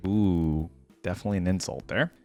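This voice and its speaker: A man's voice: low voice, fairly quick, a little mellow, no room sound, faint music behind. A man hosting a reaction video, giving informal, slangy, conversational commentary.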